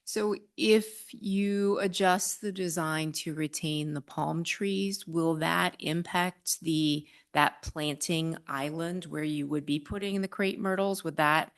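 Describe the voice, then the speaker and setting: Woman, low voice, gravelly, polite direct questions, public meeting. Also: slow, hardly any pauses.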